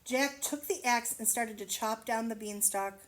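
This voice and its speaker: A woman reading a storybook aloud to children, expressively, pausing to wonder aloud and explain things simply. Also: fairly high pitch. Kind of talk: children's read-aloud with asides to the listener.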